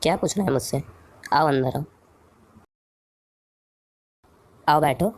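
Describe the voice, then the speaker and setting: Very low, mellow-voiced girl superhero played by a woman. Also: fairly quick, dry recording. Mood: puzzled. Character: caring, affectionate girl superhero with secret identity.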